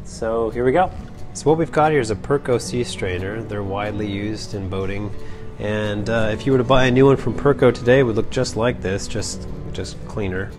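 Low-voiced man explaining a repair. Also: moderate pace. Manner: relaxed, explanatory, frequent "uh" and "like". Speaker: sailing vlogger. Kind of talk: casual how-to vlog. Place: basement workshop, indoors. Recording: music playing underneath.

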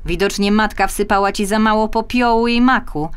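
A woman's voice: medium pitch, brisk, smooth, voice pushed up, natural voice. A woman voicing a haughty, sneering stepfamily villainess in a children's storybook tale. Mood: mocking. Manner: scornful, sneering. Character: haughty, mocking stepmother and stepsisters.

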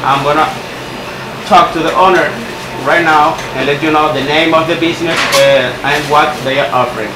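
A man's voice: on the high side, fairly even intonation. Middle-aged man interviewer in echoey shop. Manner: friendly, many "uh" fillers, quick laughs. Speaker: interviewer for a local business directory. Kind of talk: casual interview. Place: small bakery cafe, indoors. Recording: echoey room with background noise.

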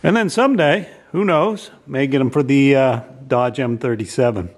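A middle-aged man talking casually in a large pole barn workshop, relaxed and folksy with many filler sounds, picked up by a camera on a tripod. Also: mid-range voice, moderate pace. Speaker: channel host reviewing a product. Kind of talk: casual how-to vlog narration.

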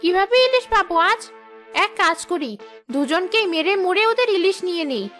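A woman's voice: very high-pitched, expressive, brisk, a bit bright, faint music behind. A woman voicing a greedy, menacing female ghost in a cartoon, with a scheming, threatening manner. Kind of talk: Bengali cartoon voice acting.

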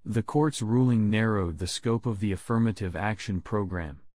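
A man reading scripted language test sentences aloud in a measured, deliberate way. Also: fairly low pitch, darkish tone, no room sound. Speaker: test prompt reader.

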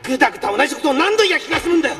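An actor playing a bitter, sneering scarred chef in an anime, rough and contemptuous, prone to bellowing, with music behind him. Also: male voice, very high-pitched, lively intonation, racing, fairly bright tone, far from the mic. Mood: furious. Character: vengeful scarred male chef, arrogant, bitter, sneering villain. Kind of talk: anime dramatic acting.

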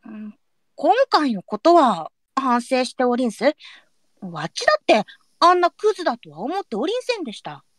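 Pouty young courtesan, raised pitch, lively swoops, catty, old brothel speech, radio drama. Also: female voice, moderate pace, no echo, exaggerated voice. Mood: defensive.

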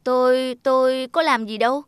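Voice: sits high, fairly even intonation, normal speed, smooth, no echo, clean studio sound, close to an everyday voice. An actor voicing a flustered, defensive young male thorny tree in a children's cartoon, stammering as he protests. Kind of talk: children's moral cartoon dubbing. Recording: loud music under the voice.

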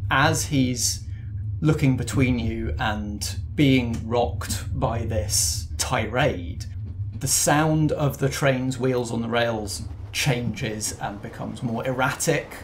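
A man's voice: mid-range voice, slight background noise. A man narrating as game master in a tabletop roleplay, descriptive and chatty with frequent fillers.

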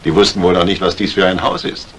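An actor playing a courteous but probing doctor in a retro radio crime drama, speaking formally. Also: male voice, deep voice, level tone, quick pace, a bit bright. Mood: sceptical. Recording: noisy old radio recording.

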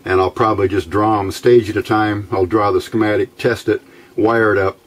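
A middle-aged man with a fairly low voice walks through a hands-on electronics build. He explains each step casually and without hurry, in the informal way of a hobbyist showing his work. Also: steady tone, normal speed. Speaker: amateur radio builder presenting a project. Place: indoor workbench.

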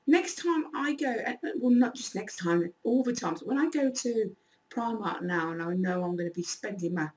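Croaky-voiced chatty woman vlogging. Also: a little high, distant mic, rough recording. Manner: chatty, rambling, animated. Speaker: YouTuber. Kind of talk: casual shopping haul vlog. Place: small room indoors.

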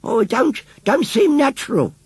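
A man's voice: very high-pitched, very animated, moderate pace, breathy edge, dry recording, voice pushed up, exaggerated voice. A man voicing a suspicious, grumbling old village woman in a storybook, with rustic, grudging speech. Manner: rustic dialect grammar, grudging. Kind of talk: storybook character voice.

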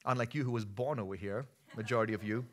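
A man in his thirties from India with an Indian accent, preaching a sermon on a church stage, moving between easy conversation and emphatic, forceful delivery. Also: lowish voice, fast talker, background noise. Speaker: pastor.

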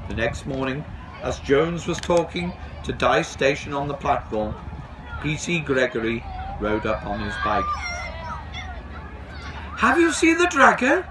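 A man's voice: mid-range voice, measured pace. A middle-aged man reading a children's storybook aloud outdoors. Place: on a bench outdoors. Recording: outdoors with background bustle.

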